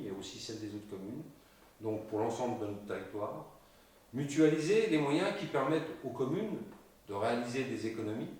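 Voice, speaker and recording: A man recorded from a distance in a very reverberant room. He presents a local campaign programme in a measured, didactic manner, with formal wording and a mid-pitched voice. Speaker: local election candidate presenting the list's programme. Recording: very echoey room, distant microphone.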